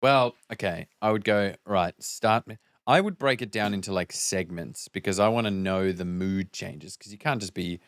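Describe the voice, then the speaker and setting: A man with a low, smooth and resonant voice in a dry recording. He talks casually through a script, explaining his choices and slipping into quick imitations of the characters' lines as he goes. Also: fairly bright tone, dry recording. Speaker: podcast guest reading and breaking down scripts. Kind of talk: casual script discussion with acted-out example lines.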